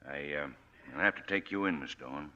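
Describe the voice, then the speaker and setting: A man's voice: very deep voice, normal speed, bright, forceful tone, smooth. An actor playing a polite, remorseful local lawman, hesitant and subdued, in a 1940s radio drama. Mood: somber. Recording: muffled 1940s radio broadcast.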